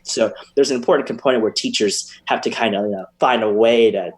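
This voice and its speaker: A man, a guest educator, enthusiastically explaining teaching ideas in a casual podcast discussion, often restarting his sentences. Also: lowish voice.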